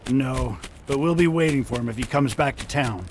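A man's voice: mid-range voice, moderate pace, background noise, natural voice. A man playing a level-headed deputy in a radio drama, speaking cautiously and matter-of-factly. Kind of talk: amateur radio drama acting.

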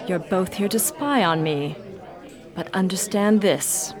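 A woman playing a haughty, coolly threatening female villain in a radio drama, speaking deliberately. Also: lowish voice, a crowd in the background. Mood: cold menace. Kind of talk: amateur radio drama acting.

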